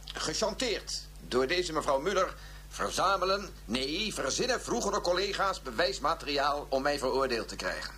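A grave professor delivering a deliberate public declaration in a radio play. His voice is sharp and slightly high, over faint noise and hum. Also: lively intonation. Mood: grave, declamatory. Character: coerced male physicist professor reading a grave public declaration. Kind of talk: radio drama speech.

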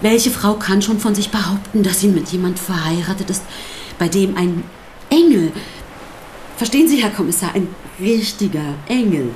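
An actress playing a sardonic, defiant pastor's wife in a radio drama, speaking with provocative, theatrical emphasis. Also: female voice, medium pitch, expressive, moderate pace, a little mellow, a little hiss or hum, lightly acted. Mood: exasperated, insistent. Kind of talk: German radio drama acting.

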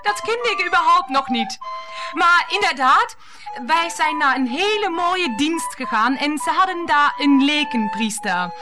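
Radio play mistress: pious, naive, gushing, high-pitched with lively swoops. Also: female voice, unhurried, music in the background. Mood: delighted, earnest. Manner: gushing, earnest.

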